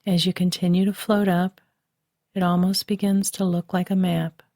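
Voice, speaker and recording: A woman guiding a relaxation meditation, speaking softly and soothingly, recorded dry and close as a voice-over. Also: lowish voice, little pitch movement, dry recording. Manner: slow, soft and soothing. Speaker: meditation guide.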